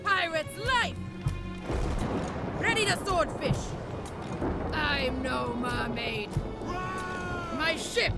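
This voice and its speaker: A woman's voice: high voice, extremely slow, creaky, long pauses, full character voice. An actress voicing a brash, proud mutant pirate queen in a video game, barking short battle cries. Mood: brash, defiant. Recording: game audio under loud music.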